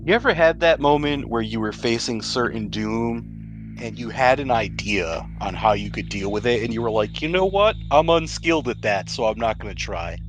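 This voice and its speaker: A man's voice: mid-range voice, lively intonation, normal speed, faint music behind. A man acting as game master, narrating a tabletop role-playing game and putting questions to the players.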